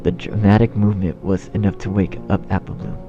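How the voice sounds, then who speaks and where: Low-voiced man narrating a story. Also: flat delivery, brisk. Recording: dull, muffled sound under music. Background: music.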